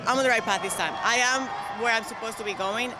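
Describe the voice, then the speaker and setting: A woman's voice: fairly high pitch, fast, a loud crowd, heavy background noise. A young woman from Colombia, a native Spanish speaker with a Colombian accent, chatting casually and upbeat in an interview. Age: young adult. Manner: upbeat, energetic. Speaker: bikini competitor interviewee. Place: large exhibition hall, indoors. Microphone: handheld interview microphone. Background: music.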